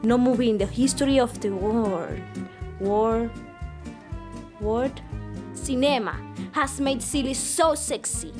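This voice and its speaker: A woman's voice: a little high, slow pace, pauses often. A woman with a Cuban accent narrating a comic movie trailer in a mock-grand announcer style. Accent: Cuban Spanish. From Cuba. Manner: mock-grand, over-the-top announcer delivery. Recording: music bed under the voice.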